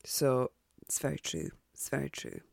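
A woman hosts her podcast while sounding congested and croaky from a cold, her voice gravelly. She talks in a chatty, hesitant way, full of ums and restarts. The recording is dry and close. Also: rumbling low, wildly swooping pitch, moderate pace, darkish tone, no room sound. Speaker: podcast host. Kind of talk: casual podcast sign-off.

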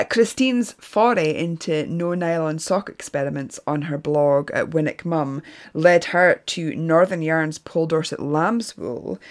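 A woman's voice: low-pitched, vocal fry. A woman hosting a podcast, reading a scripted round-up with occasional fillers. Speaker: podcast host. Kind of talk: scripted narration.

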